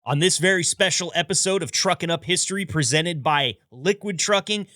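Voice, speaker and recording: Bright, dry-voiced male podcast host. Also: on the high side, normal speed, no echo. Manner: upbeat, storytelling delivery with wry asides. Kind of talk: scripted narration.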